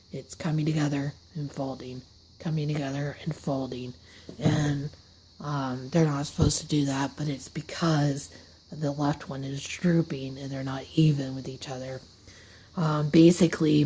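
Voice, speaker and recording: A hoarse woman with an airy, breathy voice, telling a casual story-time monologue. She talks haltingly, runs short of breath, and her words often fade into a whisper. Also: sits low, mellow, a little hiss or hum, rough recording. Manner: slips into unvoiced whispers mid-sentence. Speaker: vlogger. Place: seated at a table indoors.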